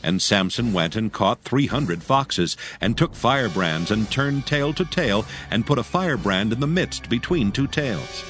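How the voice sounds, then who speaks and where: A man narrating scripture dramatically in archaic English, solemn and measured. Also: medium pitch, animated, a bit bright, soft background music.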